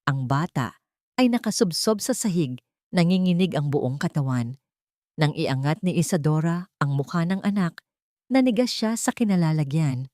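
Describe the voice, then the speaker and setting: Woman reading a drama audiobook, kinda low voice, solemn n emotional, dry close sound. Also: animated, normal speed, darkish tone, dry recording. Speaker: audiobook narrator. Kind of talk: dramatic scripted story narration.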